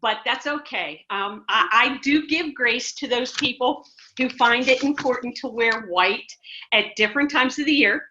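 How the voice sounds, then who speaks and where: A woman's voice: on the high side, normal speed, sharp tone, slight background noise. A middle-aged American woman, a ministry director, talking emphatically as a guest in an interview, with many restarts. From United States. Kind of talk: casual interview conversation. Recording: muffled lo-fi sound.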